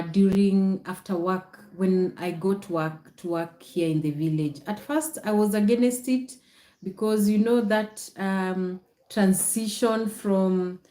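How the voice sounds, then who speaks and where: A woman with a Kenyan accent hosts a casual livestream at an unhurried, slow pace. She explains things patiently to viewers and often repeats her phrases. The sound is noticeably echoey. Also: mid-range voice, mellow. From Nakuru, Kenya. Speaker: content creator hosting a livestream.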